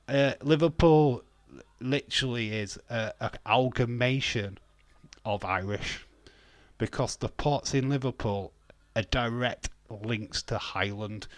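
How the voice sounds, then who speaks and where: A young man with a broad Yorkshire accent talks loosely and jokingly on a livestream, the odd swear thrown in. His voice sits fairly low. The sound is dry and close to the mic. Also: dry recording. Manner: chatty, jokey, swearing, often laughing. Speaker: wrestling watch-along streamer. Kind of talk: casual livestream chat.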